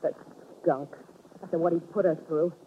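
A woman's voice: deep voice, fairly even intonation, moderate pace, close to an everyday voice. A woman playing a wary, blunt young American woman, streetwise and loyal, in a 1940s radio drama. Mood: indignant. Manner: suspicious, blunt. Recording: scratchy, tinny 1940s radio recording.

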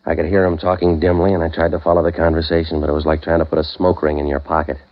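An actor playing a cynical, wisecracking waterfront private eye in 1940s radio noir, deadpan and clipped. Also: male voice, rumbling low, racing. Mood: wry narrating. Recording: muffled old radio broadcast, music behind.

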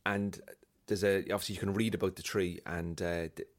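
A man's voice: low voice, a little fast. A man from Cork with an Irish accent, chatting casually on a podcast, telling stories with lots of put-on voices and a fair bit of swearing. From Ireland. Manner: animated storyteller, acts out voices, swears freely. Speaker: podcast guest. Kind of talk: casual chat.

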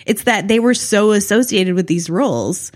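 A woman's voice: medium pitch, normal speed. A woman co-hosting a casual recap discussion, talking in an opinionated, freely sweary way. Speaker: podcast co-host.

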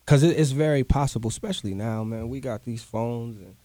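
A man's voice: mid-range voice, fairly quick, warm tone, no echo. A man, talking earnestly in a casual interview, often repeating himself for emphasis. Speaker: guest artist.

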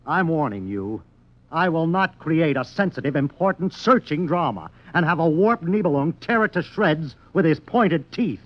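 High-voiced, pompous playwright. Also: male voice, animated, normal speed. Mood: indignant, menacing. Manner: florid, theatrical declaiming. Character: pompous, furious male playwright threatening his critic. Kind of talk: 1950s radio acting. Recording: dull 1950s radio recording.

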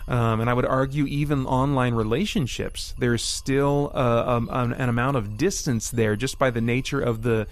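Male podcast host, medium pitch. Casual, self-interrupting delivery that drifts between script and off-the-cuff asides. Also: fairly even intonation, normal speed, few pauses. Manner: rambling, self-interrupting, thinking aloud.

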